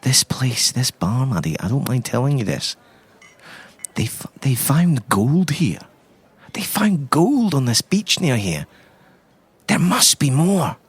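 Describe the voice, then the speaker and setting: A man's voice: medium pitch, very animated, slow, slightly rough, full character voice. A man playing a shifty young property schemer in an improvised audio drama, confiding and defensive. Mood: secretive, excited.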